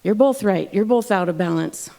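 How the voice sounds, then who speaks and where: A woman's voice: medium pitch, animated, normal speed, gravelly, warm tone. A woman voicing a precocious eight-year-old boy bear, matter-of-fact and a little pedantic, in a told fairy tale. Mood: earnest, confident.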